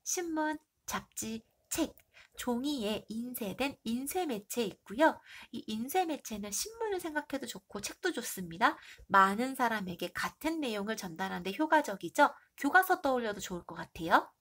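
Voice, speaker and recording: A woman teacher with a stuffy nose and a hoarse throat, reviewing for an exam and asking the class questions she then answers herself. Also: a little high, very animated, normal speed, a bit bright. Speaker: middle school Korean teacher.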